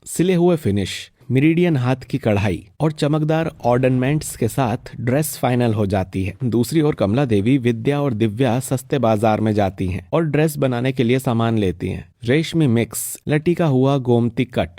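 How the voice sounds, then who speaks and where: A man's voice: medium pitch, expressive, normal speed, hardly any pauses, dark tone, no echo, clean studio sound. A man reading scripted narration for a cartoon family story.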